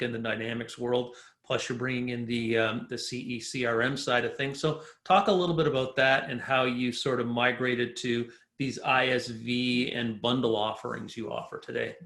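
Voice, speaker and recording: A man's voice: medium pitch, steady tone, moderate pace, few pauses, fairly bright tone, roomy sound. A man hosting a business interview, asking questions in an upbeat, conversational way. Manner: upbeat, conversational, uses "you know" often. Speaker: podcast host.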